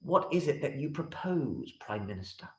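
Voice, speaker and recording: A man's voice: mid-range voice, sing-song, normal speed, darkish tone. A man voicing a pompous, easily flustered king in a children's story, effusive and theatrical. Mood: upset. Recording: roomy, echoey room sound.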